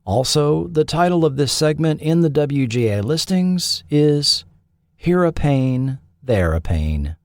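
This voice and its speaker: Dry studio man narrating, slow and expressive. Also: fairly high pitch, no room sound. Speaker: podcast host and narrator. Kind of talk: scripted narration of TV history.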